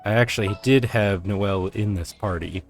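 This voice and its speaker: Low-voiced man musing through a game. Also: moderate pace. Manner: low-key, musing aloud, hesitant. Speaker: gaming streamer. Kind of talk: casual game commentary. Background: faint game music.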